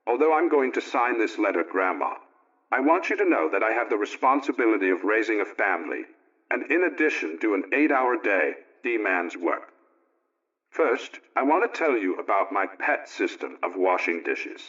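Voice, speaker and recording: A man playing an earnest, folksy middle-aged family man, reading out his letter in a deliberate, plain-spoken way for 1920s radio. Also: lowish voice, sing-song, a bit bright. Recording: dull, lo-fi old radio recording.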